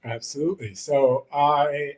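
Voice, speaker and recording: A man from Malawi, a native Chichewa speaker, giving earnest, reflective interview answers in a halting, conversational way. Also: a little high, fairly even intonation. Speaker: interview guest, musician and visual artist. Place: his art studio, on a video call.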